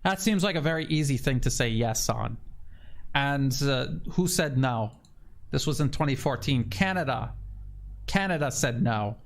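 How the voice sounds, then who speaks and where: A man's voice: mid-range voice, animated, normal speed. A man delivering political commentary, emphatic and indignant, repeating phrases for stress. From United States.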